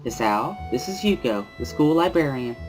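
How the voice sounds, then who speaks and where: A performer voicing a composed, caring schoolgirl in a dramatic reading, with gentle and courteous manners. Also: medium pitch, sing-song, moderate pace, over music, voice pushed up, lightly acted. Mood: composed, polite.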